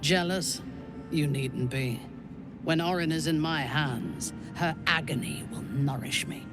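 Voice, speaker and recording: An actress playing an imperious, vengeful dark elf commander in a fantasy game, haughty and deliberate. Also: female voice, low voice, over music. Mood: bitter, vengeful.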